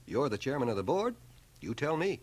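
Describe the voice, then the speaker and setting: An actor playing a dry, ironic schoolmaster of nearly fifty in a radio drama, speaking in a measured, pedantic, sardonic way. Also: male voice, medium pitch, big pitch swings, a little mellow. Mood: guarded, testy. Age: middle-aged. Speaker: actor, narrator and lead. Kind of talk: 1980 radio drama acting. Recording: home cassette transfer of radio broadcast.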